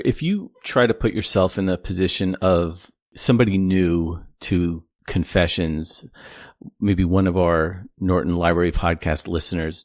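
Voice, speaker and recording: Low-voiced male podcast interviewer. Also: no echo, clean studio sound. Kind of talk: measured interview questioning.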